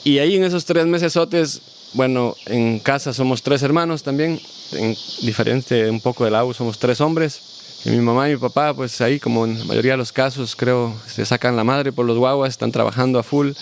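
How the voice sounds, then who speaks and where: A man's voice: mid-range voice, gravelly, a little hiss or hum. Reflective man. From Costa Rica. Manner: reflective, unhurried storyteller. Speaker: guest, family farmer. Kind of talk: casual interview storytelling.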